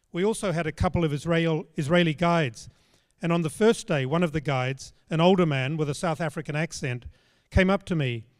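A man's voice: fairly high pitch, clean studio sound. Older man preaching in a hall. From Australia. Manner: measured, storytelling, plain spoken. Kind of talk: sermon. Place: large church stage. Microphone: handheld microphone.

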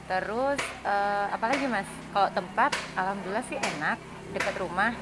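A woman's voice: mid-range voice, normal speed. A young woman chatting casually as a customer in an interview, rambling with many fillers. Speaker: restaurant customer. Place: open bamboo hut restaurant. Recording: noisy outdoor ambience.

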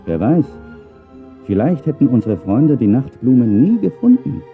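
A man's voice: medium pitch, big pitch swings, normal speed. A man narrating a children's audio drama expressively from a script. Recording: narrow phone-like sound, faint music.

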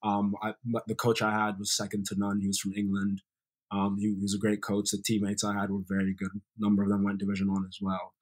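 A man's voice: on the low side, fast. A young man from Sierra Leone with an American accent, telling stories as a guest in a casual interview over a video call. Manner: relaxed storytelling, many fillers. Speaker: guest, former college soccer player. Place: bright room indoors, video call.

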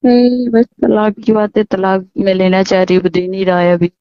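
A woman's voice: medium pitch, flat delivery, moderate pace, clean tone, no room sound. A woman talking casually in a private phone conversation, heard on a dull lo-fi call recording.

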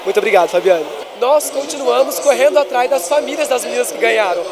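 A young man reports live from a packed festival hall, holding a handheld mic. He sounds upbeat and pushes his voice up over the noise. A loud crowd fills the background. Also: very high voice, expressive, quick pace. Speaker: event reporter. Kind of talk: on-the-spot interviews.

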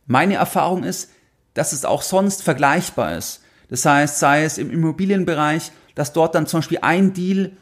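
Higher-pitched man explaining things. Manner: explanatory, repeats phrases for emphasis. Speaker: finance podcast host. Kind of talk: explanatory monologue to listeners.

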